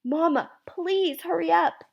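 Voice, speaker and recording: A woman voicing a feisty, excitable young schoolboy in a story, speaking emphatically. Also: on the high side, unhurried. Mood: urgent.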